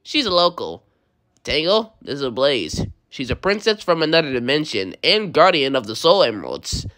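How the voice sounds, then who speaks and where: A woman's voice: low voice, big pitch swings, normal speed, fairly bright tone. A woman voicing a cocky, easygoing young male blue hedgehog hero in an amateur comic dub, with breezy, casual delivery.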